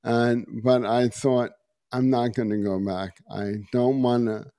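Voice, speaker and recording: Middle-aged man, hoarse and raspy, low mellow tone, halting delivery, even and flat. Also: no echo. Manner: slow and halting, many uh and um. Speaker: podcast guest, meditation teacher. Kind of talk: personal story in conversation.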